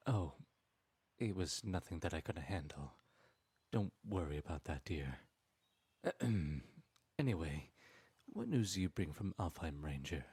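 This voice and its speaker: A man voicing a sly, smooth-talking male trickster god in an audio roleplay, speaking in a silky, teasing and persuasive way. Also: sits low, expressive, unhurried, long pauses, a little mellow, no echo, heavily acted. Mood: scheming.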